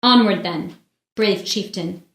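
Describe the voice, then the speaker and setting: A woman's voice: medium pitch, slow. An actress playing a proud, wronged noblewoman in a classical stage drama, speaking in formal, declamatory speeches. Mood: rousing, resolute. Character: wronged noblewoman in armor, proud, pleading, vengeful. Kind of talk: classical stage verse drama, unrehearsed read. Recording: roomy echo around the voice.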